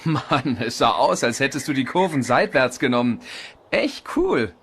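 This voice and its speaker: A young cartoon race car, boyish n confident, bright voice, jumps all over in pitch. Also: male voice, very animated, normal speed, husky, exaggerated voice. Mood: friendly, curious. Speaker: voice actor in German dub. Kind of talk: animated cartoon dialogue.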